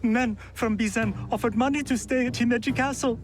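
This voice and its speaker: An actor playing a panicky, hapless husband in a video game drama, flustered, whiny and stammering. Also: male voice, very high voice, steady tone, fairly quick. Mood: nervous, resigned. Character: panicky, cowardly, hapless husband fleeing trouble. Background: background music.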